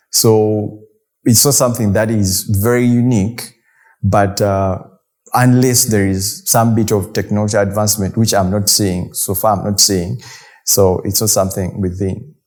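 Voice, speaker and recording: A man entrepreneur giving a measured, thoughtful documentary interview. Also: lowish voice, darkish tone.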